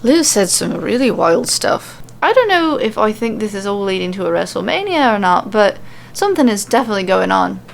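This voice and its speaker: A woman's voice: mid-range voice, big pitch swings, fairly quick, vocal fry, a little hiss or hum. A woman voicing a brash, dismissive Irish warrior woman with a blunt, nonchalant manner and an Irish accent, in a told fantasy story.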